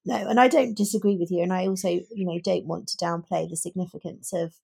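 A woman's voice: fairly low pitch, fairly even intonation, fast talker, vocal fry, mellow, husky. A woman co-hosting a conversational book discussion, thinking aloud in long, emphatic explanations. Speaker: podcast co-host.

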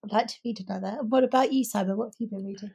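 A woman's voice: mid-range voice, rapid-fire, gravelly, darkish tone, slightly rough. A woman co-hosting a podcast, talking informally about books and thinking aloud as she goes. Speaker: podcast co-host. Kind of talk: casual book chat.

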